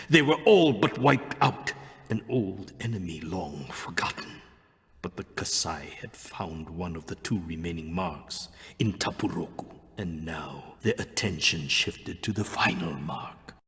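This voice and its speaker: Deep-voiced solemn spirit chronicler. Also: male voice, husky, exaggerated voice. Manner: grave, measured, reflective storytelling. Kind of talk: scripted narration. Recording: loud music behind the voice.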